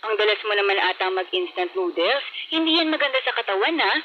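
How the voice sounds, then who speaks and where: A woman's voice: medium pitch, expressive, fast talker, lightly acted. An actress playing a caring, fussing mother on the phone in a radio public-service spot. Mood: gently scolding. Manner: concerned, gently scolding. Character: caring, fussing mother phoning her student child. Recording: muffled AM radio, clear noise.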